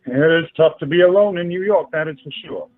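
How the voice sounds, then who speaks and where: An actor playing an earnest, polite young suitor in a radio play, courteous but halting and flustered. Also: male voice, a little high, dry recording, close to an everyday voice. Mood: sympathetic. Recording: tinny phone line with music behind.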